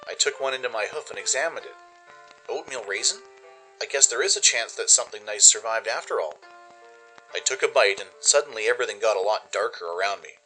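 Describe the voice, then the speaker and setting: A man's voice: fairly low pitch, lively intonation, brisk, bright, forceful tone, soft background music, poor audio. A man giving dramatic first-person scripted narration as the narrator of a radio play.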